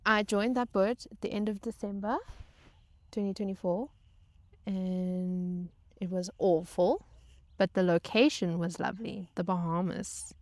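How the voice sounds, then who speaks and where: Casting: female vlog host, chatty and wry, unhurried halting delivery, dry close recording. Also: mid-range voice, fairly even intonation, dry recording. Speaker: vlog host telling stories. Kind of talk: casual personal storytelling.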